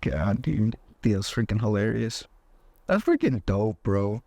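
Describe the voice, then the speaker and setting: A young man hosting a podcast, chatting in an excitable, slangy way. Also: lowish voice, slow, creaky, no room sound. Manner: excitable, slangy, lots of "dude" and "bro". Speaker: podcast host. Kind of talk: casual chat.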